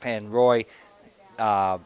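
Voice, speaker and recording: A man in his sixties co-hosting a live radio telethon, talking in a halting way with many fillers and restarts. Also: on the low side, fairly even intonation, darkish tone, smooth. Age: 60s. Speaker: telethon co-host.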